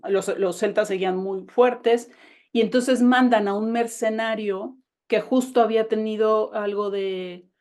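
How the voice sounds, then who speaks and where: A woman's voice: mid-range voice, a little mellow. A woman novelist with a Mexican Spanish accent, talking about her book in a casual, enthusiastic way, with many restarts, on a video call. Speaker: novelist guest. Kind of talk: casual conversation over a call.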